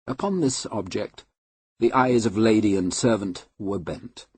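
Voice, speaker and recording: Husky-voiced man reading a classic novel. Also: on the low side, a little mellow, no room sound. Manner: measured, unhurried, formal. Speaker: audiobook reader. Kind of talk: scripted literary narration.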